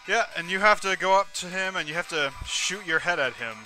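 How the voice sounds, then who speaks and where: Man, slightly high-pitched voice, casual let's play commentary, rambling and self-correcting, clear game music behind him. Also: brisk, pushed and bright, low-quality recording. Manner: rambling, self-correcting, narrates on-screen action. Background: video game music.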